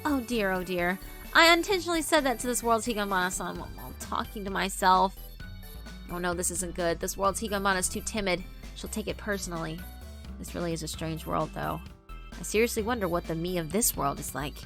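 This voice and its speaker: A woman's voice: fairly low pitch, brisk, fairly bright tone, faint music behind. A woman voicing a timid, well-meaning girl who muses to herself hesitantly in a visual novel. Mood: fretful musing.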